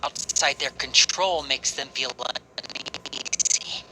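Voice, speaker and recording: An actor playing an earnest, loyal young man in an anime-style video game, explaining things in a measured way. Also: medium pitch, noisy background, natural voice. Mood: grave, resigned.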